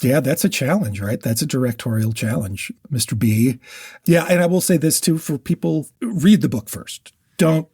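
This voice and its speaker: Mellow, husky man talking books, close and dry. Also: mid-range voice, normal speed, dry recording. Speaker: podcast co-host. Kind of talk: casual book chat with explanations.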